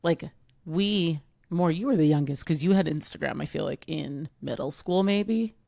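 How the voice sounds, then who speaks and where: Low, gravelly woman chatting loosely. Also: lively intonation, normal speed, dark tone, no room sound, studio quality. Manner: rambling, heavy use of "like". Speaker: podcast co-host. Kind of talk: casual chat.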